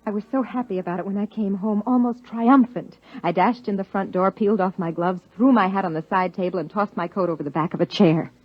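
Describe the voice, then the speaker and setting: Husky, earnest 1950s radio drama wife played by an actress. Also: female voice, medium pitch, brisk, hardly any pauses, no echo, lightly acted. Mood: reflective narration. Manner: articulate, insistent, formal. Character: earnest, determined wife and biologist, narrating her story. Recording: muffled old 1950s radio broadcast.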